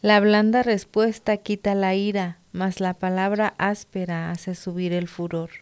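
A woman's voice: mid-range voice, level tone, unhurried, a little mellow, smooth. Affirming woman co-host reading scripture. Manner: affirming, brief backchannels. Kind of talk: supportive chat and scripture reading.

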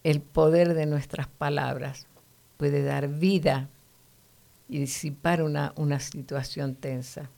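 Low, gravelly-voiced woman teaching the Bible. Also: fairly even intonation, takes it slowly, dark tone. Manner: earnest, teacherly, frequent "¿no?" tags.

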